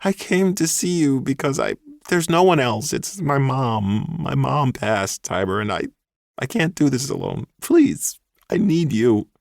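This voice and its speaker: A man's voice: medium pitch, big pitch swings, normal speed, dry recording, studio quality, pitched above the performer's own voice. A man doing a whiny, pleading ex-boyfriend. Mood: tearful. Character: pleading, clingy young male ex-boyfriend.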